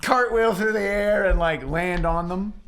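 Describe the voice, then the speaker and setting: A man's voice: sits high, unhurried, very noisy. A man playing a tabletop role-playing game, chatting casually with wry, teasing jokes. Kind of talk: casual joking banter.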